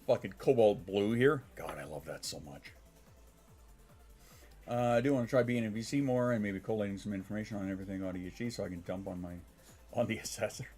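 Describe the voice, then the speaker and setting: A man's voice: fairly low pitch, a little fast, darkish tone, faint music behind. A man in his thirties, congested and sniffling, chatting casually on a live stream, rambling, swearing and reacting to chat. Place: home.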